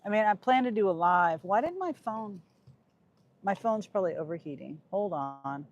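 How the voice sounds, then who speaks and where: A woman's voice: medium pitch, lively intonation, normal speed, highs cut off, slight background noise. A middle-aged woman with a Midwest American accent, chatting on a livestream from her car and reading viewer chat aloud. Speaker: gig worker livestreamer. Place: inside a car. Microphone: phone on a dashboard mount.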